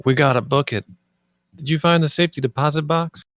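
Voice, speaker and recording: An actor at a film table read playing a gruff, mocking spaceship assessor with a sardonic, dismissive manner. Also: male voice, on the high side, brisk, a bit bright, no room sound, studio quality, natural voice. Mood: terse.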